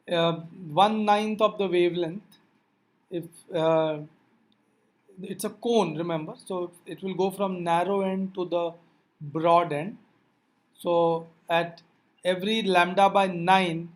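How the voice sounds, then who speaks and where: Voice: medium pitch, low-quality recording. A physics teacher giving an explanatory lecture, addressing learners directly with reminders in a didactic way.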